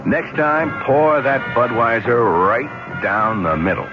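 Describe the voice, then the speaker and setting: A man reads a radio commercial in a friendly, persuasive voice. His pitch moves a lot. Loud music plays under it, and the old broadcast sounds muffled. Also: expressive, slow pace. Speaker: ad announcer.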